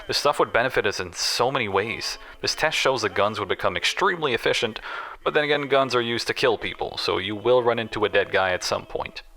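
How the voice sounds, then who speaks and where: A man's voice: on the low side, sharp tone. A man voicing an exasperated, sardonic research scientist reading out his notes in a dramatised story. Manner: dry, grumbling, sardonic.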